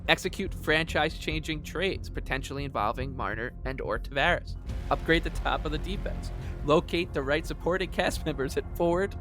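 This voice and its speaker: A man co-hosting a sports podcast, talking casually and reading aloud with wry, sarcastic emphasis. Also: a little high. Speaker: podcast co-host.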